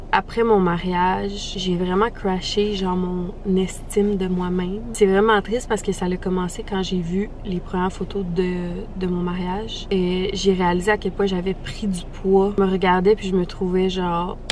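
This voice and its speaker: A woman's voice: on the low side, slight background noise. A young woman with a Québécois French accent, giving a candid, chatty life update and peppering her talk with English slang. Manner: chatty, self-deprecating, frequent English slang. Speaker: vlogger.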